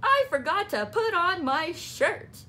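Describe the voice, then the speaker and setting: A woman voicing an excitable, eager young boy frog in a children's storybook, with shouty sing-song exclamations. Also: very high-pitched, slow, a little hiss or hum, voice pushed up, heavily acted. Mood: sheepish. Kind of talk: children's storybook read-aloud.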